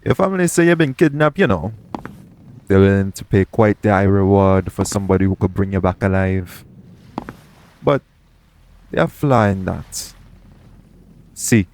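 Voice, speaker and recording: A man acting a shrewd, smug pirate captain in an audio roleplay, with a taunting, deliberate, persuasive way of talking. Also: fairly low pitch, lively intonation, darkish tone. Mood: knowing, taunting.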